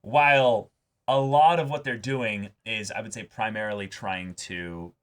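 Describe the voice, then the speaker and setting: A man talks through his opinions in casual commentary. His voice is on the low side and has a bright, forceful edge. He is animated and likes to slip into imagined dialogue as he explains. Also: measured pace. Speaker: co-host of a reaction show.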